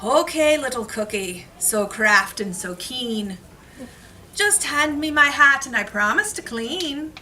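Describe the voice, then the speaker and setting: A woman's voice: high-pitched, expressive, slow pace, pushed and bright, exaggerated voice. A woman voicing a sneaky, mischievous storybook leprechaun who teases in sing-song rhyme, recorded from a distance in a noisy room. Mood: coaxing. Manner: teasing, sing-song rhyming. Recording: noisy classroom, distant mic.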